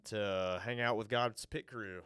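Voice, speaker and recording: Man co-host, low-pitched, stuffy-nosed, easygoing, dry close sound; remote-call podcast chat. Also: steady tone, fairly bright tone, clean tone, no room sound. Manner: easygoing, drawn-out fillers, upbeat sign-offs. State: nasal from a cold. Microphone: virtual podcast, remote call.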